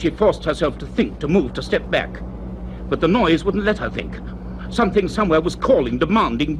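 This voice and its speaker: A man narrating a suspense radio serial in a dramatic scripted style, with measured, suspenseful phrasing. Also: medium pitch. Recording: noisy old radio drama recording.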